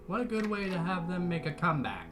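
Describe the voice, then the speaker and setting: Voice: medium pitch, sing-song, fast talker, music in the background. A reaction video host giving casual commentary in an exclamatory, emotional way with mild substitute swearing.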